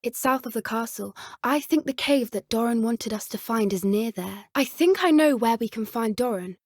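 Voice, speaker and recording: Earnest, high-voiced British schoolgirl witch. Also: animated, moderate pace, a little mellow, dry recording, clean studio sound. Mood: purposeful. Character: earnest, caring teenage witch schoolgirl who loves creatures. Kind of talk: video game character dialogue.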